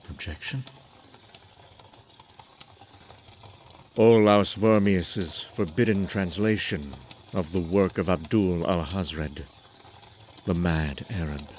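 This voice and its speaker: A man playing a lonely, uneasy traveller who narrates a gothic horror tale in a brooding, halting, theatrical way. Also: sits low, slight background noise. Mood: uneasy, apprehensive. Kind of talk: dramatic scripted horror narration.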